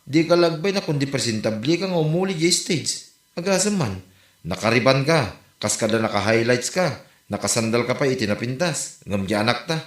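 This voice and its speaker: A man's voice: medium pitch, animated, normal speed, natural voice. A man playing a blunt, gossipy villager in a comedy audio drama, grumbling conversationally with a northern Philippine accent. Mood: reproachful. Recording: roomy, echoey voice recording.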